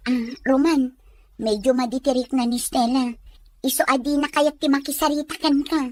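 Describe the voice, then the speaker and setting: A woman playing a brisk, helpful young friend in an audio drama, with a prodding, animated manner. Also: high-pitched, lightly acted. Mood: helpful, urging. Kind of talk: Ilocano audio drama acting.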